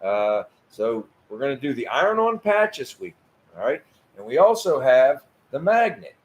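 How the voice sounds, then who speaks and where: A man in his fifties hosting a casual live chat about cars, easygoing, often stammering and laughing as he talks. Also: fairly high pitch. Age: 50s. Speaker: host.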